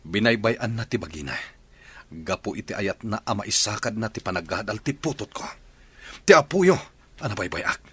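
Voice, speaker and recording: A man's voice: lowish voice, expressive, normal speed, sharp tone, breathy edge, full character voice. A man playing a remorseful husband in a radio drama, speaking in an earnest, pleading way. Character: remorseful husband begging forgiveness.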